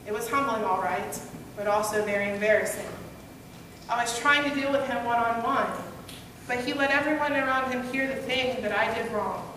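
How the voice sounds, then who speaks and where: Woman pastor, story sermon, slightly high voice, distant mic, big reverberant hall, background hiss. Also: steady tone, normal speed, bright, forceful tone. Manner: storytelling, earnest and conversational. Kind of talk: first-person dramatic monologue sermon as Peter. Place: church sanctuary, at a pulpit. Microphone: gooseneck pulpit mic, far camera. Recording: echoey hall, distant and noisy.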